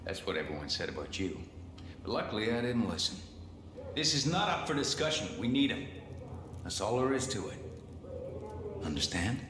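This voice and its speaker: Casting: gruff resistance boss for a game, middle-aged, lowish voice, folksy and decisive, echoey space. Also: male voice, sing-song, moderate pace, pauses often, a bit bright. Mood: firm. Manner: plain-spoken, commanding, folksy. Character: gruff middle-aged male militia leader, steady and decisive. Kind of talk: video game cutscene dialogue.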